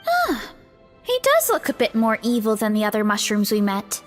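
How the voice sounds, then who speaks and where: A woman's voice: fairly high pitch, wildly swooping pitch, moderate pace, soft background music. A woman voicing an easygoing, artless young heroine in a video game, mild and matter-of-fact. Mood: unimpressed.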